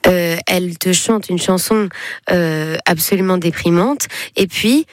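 Chatty young woman, low voice. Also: a little slow, dry recording, clean studio sound. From Belgium. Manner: chatty, with frequent hesitations. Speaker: guest singer. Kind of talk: casual interview chat.

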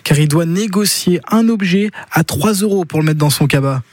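A man presenting the morning news on local radio in a steady, scripted way from a studio mic. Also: a little high, moderate pace, no echo. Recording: dry studio, faint music bed.

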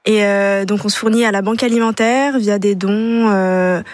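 A young woman speaks as a radio guest from the studio. Her answers are hesitant and full of filler sounds, and her voice sits at a middle pitch. The sound is close and dry. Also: fairly even intonation, moderate pace, dry recording. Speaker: student charity vice-president.

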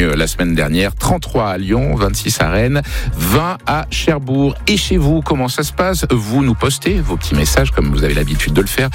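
A man presenting the weather and chatting with listeners on a live morning radio show. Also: mid-range voice. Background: music bed.

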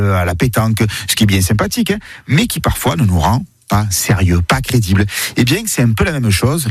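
A man's voice: mid-range voice, slightly rough, no room sound, studio quality. A man from Provence in southern France giving a conversational, explanatory radio segment in a didactic manner. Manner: didactic, demonstrating pronunciations. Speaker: radio columnist on accents and linguistics.

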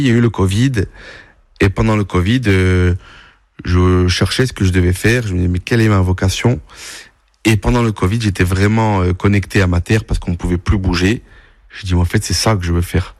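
A man's voice: deep voice, a little mellow, dry recording. A young man from Corsica, a farmer, answering radio interview questions in a hesitant way with many fillers. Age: young adult.

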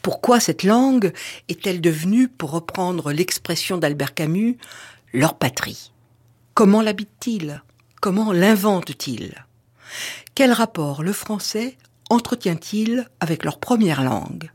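A woman's voice: on the low side, expressive, unhurried, darkish tone. A woman presenting a radio archive program, reading a formal scripted introduction. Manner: clear, formal, reading questions aloud.

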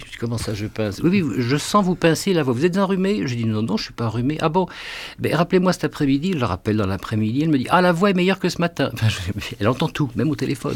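A man's voice: mid-range voice, darkish tone, breathy edge. A middle-aged man, a singer being interviewed on radio, talking in a hesitant way with many restarts and fillers. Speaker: guest singer.

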